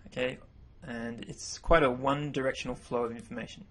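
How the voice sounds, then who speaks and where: Male voice-over teacher, native English speaker, husky, casual and self-correcting. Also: medium pitch, fairly quick. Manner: relaxed, self-correcting explanations. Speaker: language teacher. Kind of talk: narrated slideshow lesson.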